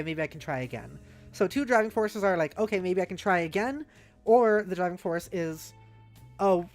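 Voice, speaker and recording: A woman with a fairly low voice talks over background music on a casual livestream. She thinks out loud in loose, informal fragments, with a playful manner as she chats with her viewers. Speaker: livestreamer host. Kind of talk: casual livestream brainstorming, reading chat aloud.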